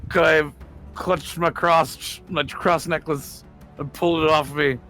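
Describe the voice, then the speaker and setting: A man's voice: a little high, fairly even intonation, measured pace, sharp tone. A man playing a tabletop game, chatting informally and jokily, swearing freely. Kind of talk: casual chat. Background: music.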